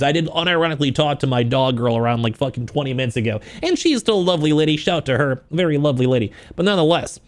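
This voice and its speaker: A man livestreaming a book reaction, switching between reading aloud and informal, joking, profane asides to his chat in a self-deprecating way. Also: mid-range voice.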